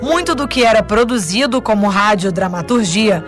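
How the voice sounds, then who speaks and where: A woman's voice: mid-range voice, lively intonation, moderate pace, over music. A woman with a Brazilian accent reading scripted narration for a radio documentary.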